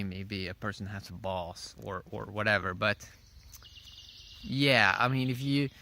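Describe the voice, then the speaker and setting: Halting man rambling over background noise. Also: fairly low pitch, pushed and bright. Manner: rambling and halting, often repeats phrases. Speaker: vlogger. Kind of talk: casual unscripted opinion monologue.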